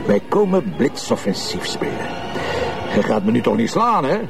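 An actor playing a relaxed, teasing, bossy Flemish police commissioner in a television crime drama, wry and patronising. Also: male voice, mid-range voice, moderate pace, creaky. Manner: wry, self-satisfied, patronising. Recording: loud music behind, outdoor city street.

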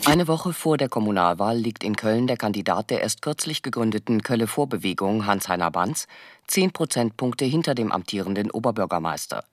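An actor in a radio drama playing a brisk male news reporter reading a scripted report. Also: lowish voice, expressive, no echo, studio quality, natural voice. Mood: matter-of-fact.

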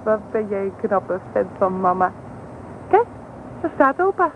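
A woman's voice: mid-range voice, big pitch swings, unhurried, narrow, tinny audio. Young mother, faint hiss. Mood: proud, coaxing. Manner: careful, hesitant, proud of her child. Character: gentle, slightly anxious young mother. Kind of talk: Dutch radio play acting. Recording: faintly noisy old radio recording.

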